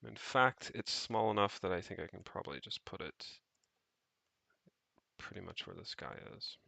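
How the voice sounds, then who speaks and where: Low, creaky-voiced man thinking aloud. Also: animated, moderate pace, sharp tone, no room sound. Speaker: programmer streaming own project. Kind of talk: casual think-aloud coding commentary.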